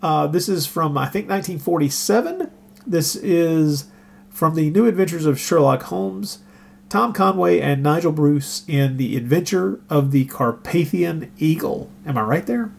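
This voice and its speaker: Gravelly, higher-pitched man hosting casually. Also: expressive, measured pace. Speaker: podcast host. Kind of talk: casual chat. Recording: faint hum behind the voice.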